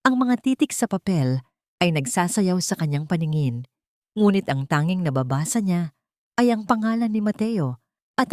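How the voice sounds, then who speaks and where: Woman, lowish voice, solemn dramatic narration, measured storytelling, close dry sound. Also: a little mellow, no echo. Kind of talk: dramatic scripted narration of a melodrama.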